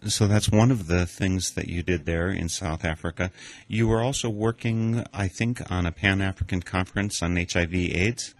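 A male interviewer with a very low voice asks his guest calm, curious questions. The sound is dry and close, with no room around it. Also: moderate pace, dry recording. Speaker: interviewer, radio host.

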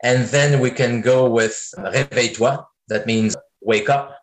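A man in his forties with a French-speaking North American accent answers interview questions as a guest. His voice sits at a medium pitch. He rambles loosely and keeps reaching for "I mean" between thoughts. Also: fairly even intonation, a little slow, low-quality recording. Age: 40s. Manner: rambling, leans on filler "I mean". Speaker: singer-songwriter guest.

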